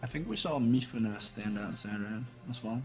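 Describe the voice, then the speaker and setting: A man chatting casually while playing a game with friends, hesitant and halting. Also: fairly low pitch, lively intonation, darkish tone, soft background music. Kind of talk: casual gameplay chat.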